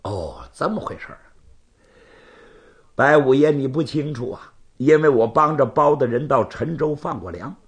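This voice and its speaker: A man's voice: medium pitch, expressive, lightly acted. A male storyteller voicing a courteous, composed knight-errant who reasons patiently and firmly with his challenger. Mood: calm, explaining. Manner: measured, conciliatory, gently reasoning. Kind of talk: Chinese storytelling performance.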